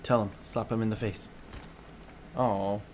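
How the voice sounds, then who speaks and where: A man's voice: on the low side, steady tone, measured pace, darkish tone, a little hiss or hum. A man in his thirties giving casual reaction commentary as a game streamer. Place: indoor room at a streaming desk. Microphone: desktop USB microphone. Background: game music.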